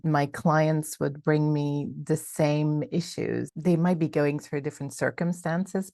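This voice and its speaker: A woman's voice: deep voice, creaky, darkish tone, dry recording, studio quality. A middle-aged woman from Belgium, a coach and company founder, speaking reflectively as an interview guest with fillers and restarts. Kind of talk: casual interview talk.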